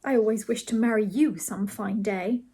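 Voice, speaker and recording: A woman voicing a meek, lovelorn, melodramatic gentleman in a comic storybook, speaking with earnest pain. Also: medium pitch, normal speed, breathy edge. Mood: low, trembling. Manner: earnest and pained. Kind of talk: comic storybook character reading.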